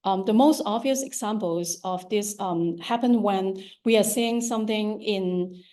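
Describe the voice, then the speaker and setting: A woman with a strong Cantonese accent gives a talk about her art. Her voice sits at an ordinary middle pitch, and she speaks haltingly, with frequent ums, false starts and repeated words as she searches for the phrasing. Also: mellow. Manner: hesitant, many ums and restarts. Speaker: visual artist giving a talk. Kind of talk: lecture on her art practice.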